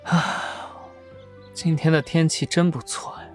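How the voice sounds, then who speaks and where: An actor playing a weary, gentle young male cultivator in an audio drama, with a bittersweet manner. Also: a little high, fairly even intonation, a little slow, faint music behind, natural voice. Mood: calm.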